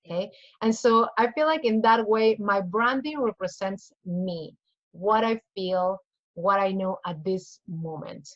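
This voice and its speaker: A woman's voice: medium pitch, unhurried, lo-fi sound. A woman from Peru with a Peruvian Spanish accent, speaking earnestly as a guest coach in a casual interview and acting out little inner voices. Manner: earnest, repeats phrases, acts out inner voices.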